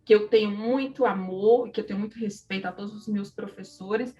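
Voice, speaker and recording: A woman speaks with a Northeastern Brazilian accent, a little away from the mic with music underneath. She is an interview guest, sharing personal stories in a reflective, conversational way at a middle pitch. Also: fairly even intonation, brisk, warm tone, distant mic, dull audio. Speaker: interview guest, psychology professor.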